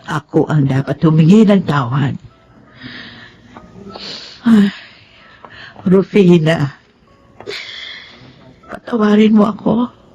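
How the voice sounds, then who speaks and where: A woman's voice: fairly low pitch, takes it slowly, long pauses, dark tone, muffled recording, a little hiss or hum. An actress playing a remorseful, grieving older woman in a Filipino radio drama, emotional and plaintive. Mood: pleading remorse. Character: remorseful, grieving older woman telling her life story.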